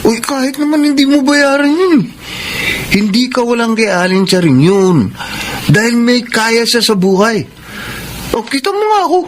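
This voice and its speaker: Radio drama easygoing male neighbour: high-pitched, casual and breezy, slight background noise. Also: animated, normal speed. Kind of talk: Filipino radio drama acting. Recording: faintly noisy background ambience.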